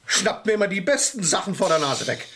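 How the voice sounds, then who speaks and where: An actor playing a sarcastic, easily piqued young lawyer, the son in a German radio crime drama, with emphatic theatrical delivery. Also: male voice, high voice, very animated, fairly quick, pushed and bright, slight background noise, heavily acted. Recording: vintage radio play studio recording.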